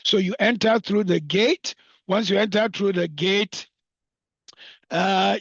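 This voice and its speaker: A man's voice: high-pitched, gravelly, a bit bright, breathy edge, no room sound, muffled recording. A man from northern Nigeria with a Nigerian accent, speaking off the cuff as a property developer promoting an estate, with emphatic repetition and lists. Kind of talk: unscripted promotional talk at a roundtable.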